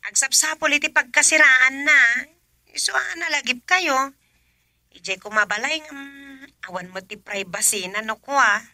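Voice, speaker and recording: An actress playing a brisk, persuasive young adult daughter talking to her mother in a radio comedy drama. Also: sits high, sharp tone, no echo, full character voice. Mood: persuading.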